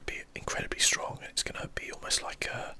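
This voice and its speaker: Southern English male, bright breathy whisper, relaxed chatty ASMR game host thinking aloud. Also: brisk. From southern England, UK. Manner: casual, chatty, musing aloud. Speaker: host of an ASMR gaming channel.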